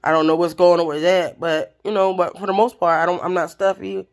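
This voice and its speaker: A woman's voice: fairly low pitch, a little fast. A woman, casually telling a story to her viewers. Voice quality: raspy voice. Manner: chatty, expressive, addresses viewers directly. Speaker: vlogger.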